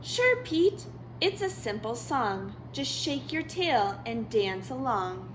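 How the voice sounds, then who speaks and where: A woman's voice: fairly high pitch, very animated, takes it slowly, a little hiss or hum, rough recording. A woman voicing a friendly picture-book turtle who is easily dismayed, in a sing-song manner. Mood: cheerful.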